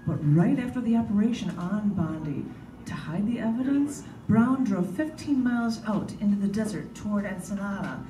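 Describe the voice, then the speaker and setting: A woman's voice: medium pitch, mellow. Woman reading aloud in an echoey, noisy room. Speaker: writer reading own prose and poems. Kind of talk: live literary reading of prose and poetry. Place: large indoor bookstore, live reading. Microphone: camera mic at a distance. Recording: echoey, noisy bookstore, distant camera mic.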